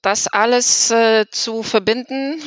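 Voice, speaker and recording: A woman's voice: fairly high pitch, fairly even intonation, slow pace, dry recording. A woman from Poland with a Polish accent, speaking as a guest on a podcast with lots of fillers and restarts. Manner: hesitant, many fillers and restarts. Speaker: employee guest. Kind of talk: podcast conversation. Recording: dull lo-fi sound.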